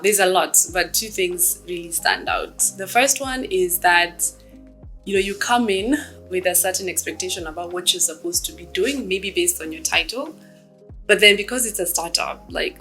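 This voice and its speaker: A woman in her thirties from Kenya, a startup executive giving reflective, measured interview answers with frequent ums. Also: fairly low pitch, bright, forceful tone.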